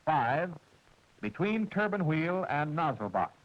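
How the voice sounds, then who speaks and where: A man narrating a 1940s military training film, with a precise, measured and formal delivery, heard through an old film soundtrack. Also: on the high side, very animated, muffled recording. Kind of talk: scripted instructional narration.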